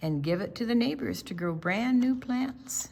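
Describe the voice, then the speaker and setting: An older woman reading a picture book aloud to children, expressively, pausing to explain the pictures. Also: on the high side, lively intonation, vocal fry, a little mellow. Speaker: storytime reader.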